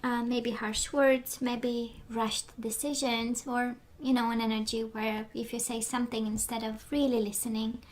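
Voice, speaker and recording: Woman tarot reader, light high voice, going hoarse, rambly, slips into whispers. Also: flat delivery, a little slow, few pauses. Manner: rambling, many fillers, drops into whispers. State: losing her voice. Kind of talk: casual spoken tarot reading.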